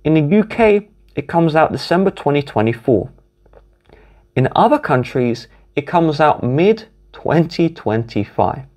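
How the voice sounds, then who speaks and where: A man's voice: on the high side, sing-song, unhurried, a little mellow. A man from the UK with a British accent, methodically narrating a step-by-step phone tutorial while filming the screens at a desk. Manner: instructive, methodical, walks through each tap. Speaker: tech tutorial host.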